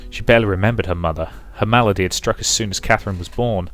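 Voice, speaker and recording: A man's voice: fairly low pitch, fast, faint music behind. A man reading a visual novel aloud as narrator and host of a let's play.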